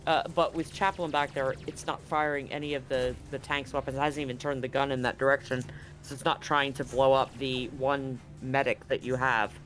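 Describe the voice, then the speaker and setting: A man acting as game master, narrating and explaining a tabletop scene in a casual, halting way that often rephrases. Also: medium pitch, flat delivery, a bit bright.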